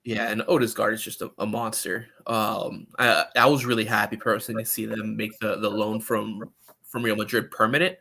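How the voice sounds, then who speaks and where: A man's voice: on the low side, level tone, fairly quick, vocal fry, bright, forceful tone. A man hosting a sports podcast, chatting casually about soccer and often repeating words as he thinks aloud.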